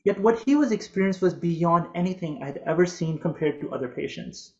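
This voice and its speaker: A man in his thirties whose first language is Urdu, reading a prepared talk in a measured, earnest way over a video call from a home office. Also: a little high, a little mellow, lo-fi sound. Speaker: physician presenter.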